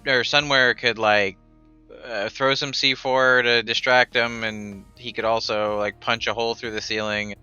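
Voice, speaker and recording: A man's voice: mid-range voice, level tone, a little slow, pushed and bright, clean tone, faint music behind. A man playing a tabletop game, thinking aloud hesitantly about plans. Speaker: player. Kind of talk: casual tabletop game chat. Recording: dull lo-fi mic.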